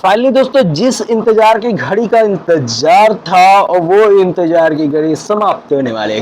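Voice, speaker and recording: A man's voice: high voice, a little mellow, a little hiss or hum, low-quality recording. A man doing live puzzle game commentary as host, in a rambling, repetitive way. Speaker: gaming host.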